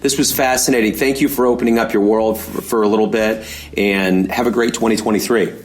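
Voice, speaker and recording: A man's voice: lowish voice, fairly even intonation, fairly quick. A man hosting an interview in a conversational style, building up to his questions in long, discursive phrases. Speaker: interview host.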